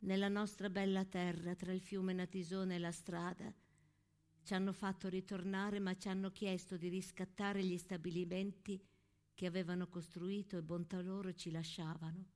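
A woman playing a plain, determined young peasant woman in an intimate, soft-spoken dramatic monologue. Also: on the low side, flat delivery, moderate pace, voice pushed down, natural voice. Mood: hurt, quietly resolute. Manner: soft, simple, earnest. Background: music.

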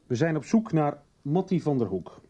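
Tough cop in a radio soap, soft dark tone, threatening, dull sound. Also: male voice, a little high, sing-song, normal speed, breathy edge. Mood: pressing. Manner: pressing, sarcastic interrogator. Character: stern, threatening male police detective. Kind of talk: 1990s radio drama acting. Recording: dull lo-fi 1990s radio drama.

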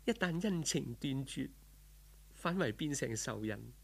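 A man voicing a serene, husky Cantonese female spirit. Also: mid-range voice, measured pace, exaggerated voice. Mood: firm, detached. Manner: solemn, formal, calmly instructive. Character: serene, gentle female spirit turned heavenly disciple. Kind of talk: audiobook folk tale character.

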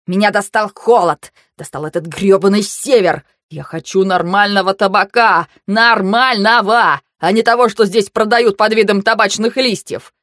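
A woman voicing a furious, foul-mouthed young male mage who rants and yells. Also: medium pitch, moderate pace, hardly any pauses, no echo, studio quality, lightly acted. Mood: ranting. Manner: ranting, yelling.

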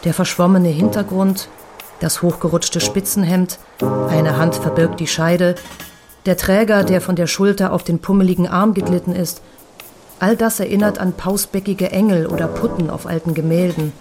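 An actress narrating memories and old photographs in a literary radio drama, with measured, precise recitation. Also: female voice, lowish voice, fairly even intonation, natural voice. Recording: music bed behind the voice.

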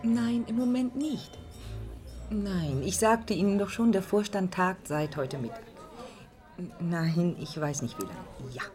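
Lively, sardonic office receptionist. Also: female voice, on the low side, over music. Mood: exasperated. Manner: brisk, teasing, ironic. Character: cheeky, sardonic Iranian-born woman receptionist at tech firm. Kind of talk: German radio drama acting.

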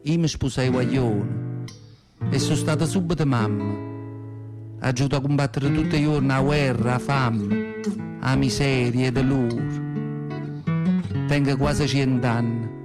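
A man's voice: mid-range voice, measured pace, pauses often, over loud music, close to an everyday voice. An actor playing a nearly hundred-year-old, plainspoken, feisty peasant mother in a theatrical radio monologue, with a southern Italian accent and folksy, emphatic storytelling. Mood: wistful, subdued.

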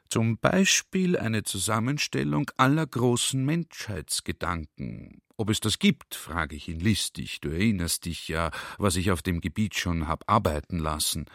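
A man's voice: low voice, vocal fry, no room sound, clean studio sound, exaggerated voice. An actor playing a garrulous, naively sly army general who tells his adventure in a chatty, emphatic radio play monologue. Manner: chatty, emphatic storytelling, drawn-out quoted speech.

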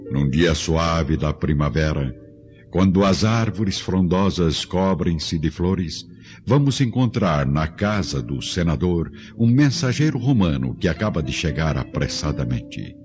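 Very deep, expressive male narrator. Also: a little slow. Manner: solemn, literary. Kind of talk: scripted radio drama narration. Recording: muffled audio under music.

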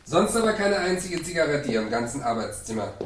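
A man's voice: fairly high pitch, big pitch swings, a little fast, slight background noise. An actor playing an observant, skeptical police assistant who reports matter-of-factly to his chief in a 1970s German radio play. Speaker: actor in a radio crime drama.

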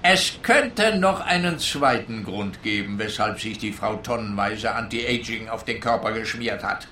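A man's voice: fairly low pitch, lively intonation, a little slow, sharp tone, echoey room, slight background noise. An actor playing a pompous, self-assured male police officer, lecturing pedantically in a comic detective radio play. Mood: smug. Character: pompous, self-assured policeman spouting absurd statistical theories.

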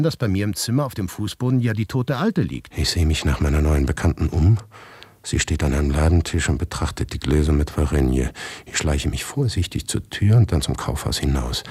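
A man narrates a literary radio play in a low, husky voice. The recording is dry and close, with scripted, storytelling delivery. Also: darkish tone, no room sound. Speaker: narrator and reader.

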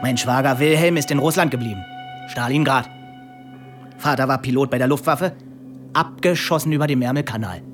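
An actor playing a suspicious, bitter young Nazi intelligence officer in a radio drama, interrogating with biting sarcasm. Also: male voice, mid-range voice, brisk, pauses often, lightly acted. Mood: bitter grief. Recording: studio radio play with faint music.

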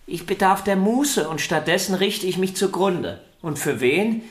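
An actor playing a vain, stubborn star violinist in a German radio play, arguing in a self-important, theatrical way. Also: male voice, high-pitched, animated, measured pace. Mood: self-pitying. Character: vain, stubborn, celebrated male violinist arguing with his sister. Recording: roomy indoor echo.

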